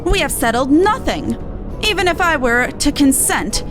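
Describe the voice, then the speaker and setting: A woman's voice: high-pitched, very animated, normal speed, over music, pitched above the performer's own voice. A woman voicing an earnest, polite young male scholar in a game, speaking formally and precisely. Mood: stern.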